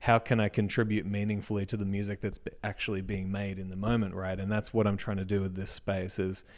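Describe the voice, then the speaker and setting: A man with an Australian accent, a music educator speaking as an interview guest in a casual, reflective way that trails off softly at the ends of sentences. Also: fairly low pitch, level tone, fast talker.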